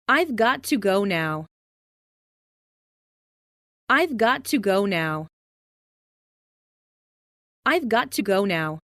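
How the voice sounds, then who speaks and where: A woman reading scripted English example sentences for language learners, enunciating carefully and deliberately. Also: mid-range voice, very animated, a bit bright, no echo, clean studio sound. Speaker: English lesson narrator.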